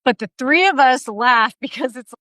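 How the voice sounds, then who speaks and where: A woman in her fifties hosting a casual interview over a video call, energetic and laughing often. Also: high voice, moderate pace, no echo, clean studio sound. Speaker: podcast host. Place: bright room at home, indoors. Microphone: video call, laptop mic.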